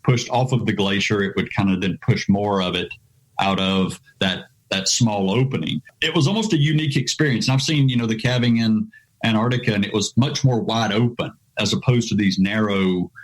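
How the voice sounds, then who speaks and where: American man, lowish voice, guest storyteller, loose rambling speech, many fillers. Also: fairly quick, low-quality recording. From United States. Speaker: guest, adventure travel writer. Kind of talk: conversational podcast interview.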